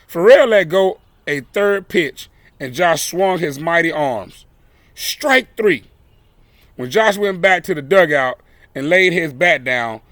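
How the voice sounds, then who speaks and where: A man reads a story to children in a bright, forceful voice that sits a little high. He takes his time with deliberate, dramatic phrasing. The sound is dry and close. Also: no room sound. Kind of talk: expressive read-aloud of a children's picture book.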